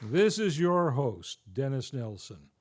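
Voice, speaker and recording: A man's voice: mid-range voice, big pitch swings, takes it slowly, darkish tone, some chatter behind, slight background noise. A man hosting a live vintage-style radio show with a showy, grandly enunciated announcer delivery. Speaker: host and announcer. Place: theater stage, live show. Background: faint music.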